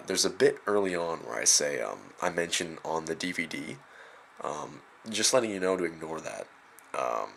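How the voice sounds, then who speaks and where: A young man, raspy from a cold, talking unscripted in a rambling, self-mocking way with lots of fillers. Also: rumbling low, fairly bright tone, a little hiss or hum. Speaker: video creator. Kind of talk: unscripted vlog.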